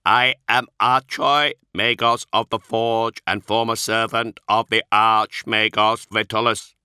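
A man voicing a cold, formal male tech priest whose synthetic voice is harsh and atonal, spoken slowly and precisely. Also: fairly low pitch, level tone, pushed and bright, smooth, no echo, clean studio sound, voice pushed up, full character voice. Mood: measured. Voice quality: harsh, atonal, strangely inflected. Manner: slow, clipped, precise delivery.

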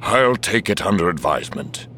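Guy doing an older wary military chief for an audiobook, formal n emphatic, pitch jumps around a lot. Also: lively intonation, normal speed, bright, forceful tone, slight background noise.

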